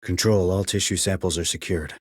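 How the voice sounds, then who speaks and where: An actor playing a terse, cool-headed sniper operative in a video game, with clipped, controlled military delivery and a low husky voice. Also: male voice, fairly even intonation, brisk, no echo. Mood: focused, controlled. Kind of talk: military radio chatter, game dialogue.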